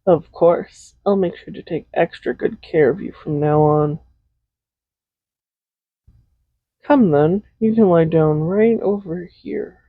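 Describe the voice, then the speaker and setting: A man's voice: on the high side, a little slow, dry recording, narrow, tinny audio. A man playing a tender, possessive villain in an intimate roleplay audio drama, speaking in a soothing, coaxing, reassuring way. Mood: gently inviting. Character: tender, possessive villain comforting a captive loved one. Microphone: close USB condenser microphone.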